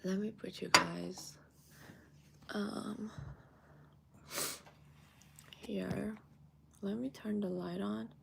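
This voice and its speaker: Persian-accented young woman, chatty and gravelly. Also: medium pitch, fairly even intonation, very slow, long pauses, background noise. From Iran. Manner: chatty, thinks aloud while eating. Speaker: vlogger. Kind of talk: casual chat to viewers. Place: home kitchen, indoors.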